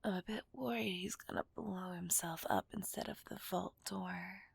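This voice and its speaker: A woman's voice: fairly low pitch, vocal fry, slightly rough, no echo, exaggerated voice. A woman playing a seductive, possessive female villain in a whispered ASMR roleplay, teasing and dominant, speaking breathily close to the microphone. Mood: sly, confiding. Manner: teasing, dominant, drawn-out delivery. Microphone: close microphone, dry sound.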